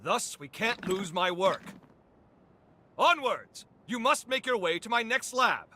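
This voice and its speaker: An actor voicing a pompous, eccentric scientist in a video game, with florid, condescending, theatrically polite speech. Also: male voice, high-pitched, animated, a little slow, sharp tone, slight background noise, heavily acted. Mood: urgent. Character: eccentric, self-important revenant scientist, a theatrical lecturer. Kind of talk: video game cutscene acting.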